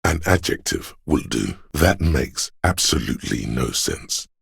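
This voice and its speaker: A man playing a grave, all-knowing inner voice of police camaraderie in a video game, narrating in a solemn, measured, literary way with a gravelly, husky tone. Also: very deep voice, sing-song, fairly bright tone, no room sound, clean studio sound, heavily acted. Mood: quietly solemn.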